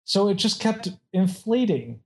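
A man's voice: sits high, very animated, unhurried. A man casually chatting and reading out odd facts, rambling with many restarts and frequent laughs.

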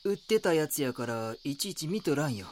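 An actor playing a defensive local shopkeeper with a Kansai accent and a blunt, curt manner, in an audio drama. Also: male voice, fairly high pitch, lively intonation, fairly quick, a little mellow, slight background noise, lightly acted. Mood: defensive, irritated. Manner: blunt, curt, casual dialect. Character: gruff, defensive local shopkeeper, suspicious of outsiders.

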